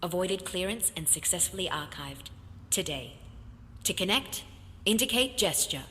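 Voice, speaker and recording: A woman's voice: on the low side, expressive, moderate pace, bright, forceful tone. A woman playing an automated archive system in an audio drama, announcing in an impersonal, formal, clipped way. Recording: long hollow reverb.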